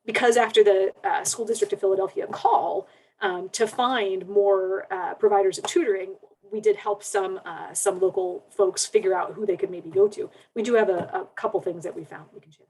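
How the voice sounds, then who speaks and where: A woman academic explaining things conversationally on a panel, in a measured, careful manner. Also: mid-range voice, fairly even intonation, dark tone, rough recording.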